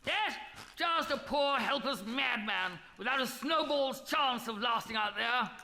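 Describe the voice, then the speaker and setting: An actor with an upper-class English accent playing a pompous, blustering polar expedition captain. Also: male voice, extremely high pitch, expressive, measured pace, gravelly, pushed and bright, big-room sound, slight background noise, heavily acted.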